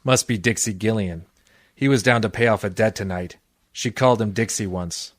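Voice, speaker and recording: Low-voiced hardboiled noir detective played by a man. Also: moderate pace, no room sound, studio quality, lightly acted. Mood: terse, determined. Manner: deadpan, wisecracking. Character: hardboiled world-weary male private detective, wry and cynical. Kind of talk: 1940s noir radio detective acting with first-person narration.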